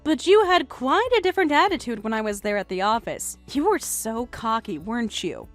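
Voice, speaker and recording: Woman playing mocking young woman, condescending, self-assured, melodramatic story dialogue, animated intonation. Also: on the high side, moderate pace, soft background music. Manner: sarcastic, condescending.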